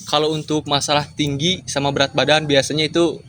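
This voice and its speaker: A man's voice: fairly high pitch, flat delivery, a bit bright, slight background noise. A man hosting a casual interview podcast, encouraging his guest and humming along as she talks. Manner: quick, encouraging, lots of backchannel hums. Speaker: podcast host.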